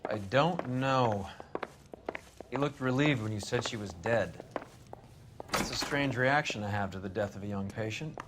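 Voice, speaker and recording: An actor playing a cynical, gruff male detective in a video game, talking in a sardonic, dismissive way. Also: lowish voice, a little slow, pauses often, background noise, natural voice.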